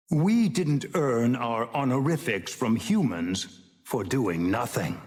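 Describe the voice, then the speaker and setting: A man voicing an ancient, wise old immortal mentor in a video game, speaking in a grave, measured, sage-like way. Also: medium pitch, darkish tone. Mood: solemn, wise. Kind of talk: video game hero voice lines. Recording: long reverberant hall effect.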